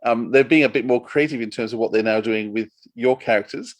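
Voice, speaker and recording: A man's voice: medium pitch, a little fast, no room sound. Inquisitive man hosting, dry close sound. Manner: conversational, inquisitive. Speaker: podcast host, interviewer. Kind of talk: casual interview questioning.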